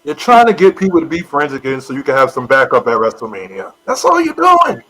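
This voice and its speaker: A man co-hosting a casual wrestling podcast, talking in an animated way and addressing wrestlers directly. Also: a little high, fairly quick, poor audio. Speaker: podcast co-host. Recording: faint background noise.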